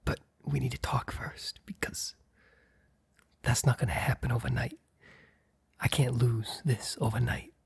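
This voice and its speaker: A man acts out a mob boss boyfriend in an intimate ASMR roleplay. He is guarded but earnest, speaking in a hushed, halting whisper. The voice is very husky and breathy, with heavy gravelly creak. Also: mid-range voice, steady tone, heavily acted. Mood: resigned, earnest. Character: guarded, apologetic young male mob boss, boyfriend confessing.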